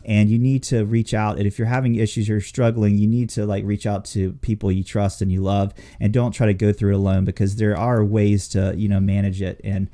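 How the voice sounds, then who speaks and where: A man with a mellow, relaxed tone hosts a casual talk show. He speaks bluntly and rambles, swearing freely as he goes. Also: on the low side, a little fast, hardly any pauses. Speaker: podcast host. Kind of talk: casual chat, profanity-laden.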